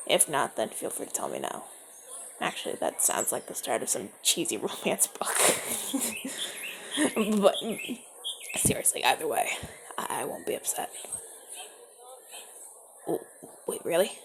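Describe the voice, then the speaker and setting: A man playing a gravelly, suave mafia charmer. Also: fairly high pitch, huge pitch swings, unhurried, long pauses, noisy background, heavily acted. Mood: flirty. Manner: soft-spoken, often whispering, stammers when flustered. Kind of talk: ASMR audio roleplay.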